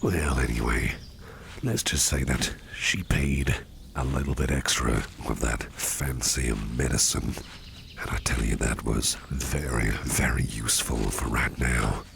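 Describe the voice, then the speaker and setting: Man acting a very deep, gravelly, doting boss. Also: fairly bright tone, a little hiss or hum, exaggerated voice. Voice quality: gravelly, breathy half-whisper. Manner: soothing, unhurried, repeats reassurances. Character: sinister yet protective businessman boss, male, smooth-talking, doting. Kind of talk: ASMR roleplay.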